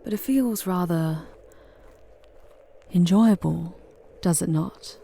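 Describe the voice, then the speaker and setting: A woman playing a charming, seductive demon guide through hell in an intimate ASMR roleplay, with theatrical, formal phrasing and breathy delivery that often drops into whispers. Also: on the low side, slow, mellow, slight background noise. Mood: coaxing, intimate. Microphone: close ASMR microphone.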